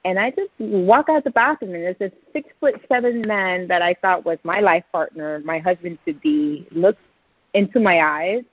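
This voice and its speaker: A woman in her thirties with a Puerto Rican accent, telling her life story as a podcast guest in a fast, excited way. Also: fairly low pitch, no echo. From Puerto Rico. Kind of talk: storytelling interview. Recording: dull, lo-fi podcast audio.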